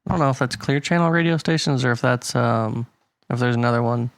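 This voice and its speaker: A man's voice: medium pitch, brisk, creaky, dry recording. A man co-hosting a casual podcast chat, reading figures aloud and laughing often. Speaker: podcast co-host.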